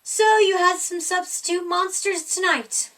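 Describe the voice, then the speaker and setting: A hungry storybook monster, performed by a woman, with a high, theatrical growl heard a little away from the mic. He is teasing and fond, a bedtime scarer who takes his time over each threat. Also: fairly even intonation, a little slow, pushed and bright, distant mic, heavily acted. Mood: playful menace.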